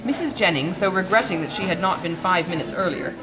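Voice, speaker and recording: A woman's voice: on the low side, fast talker, crowd noise, poor audio. A woman reading a classic novel as scripted audiobook narration. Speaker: audiobook reader. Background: music.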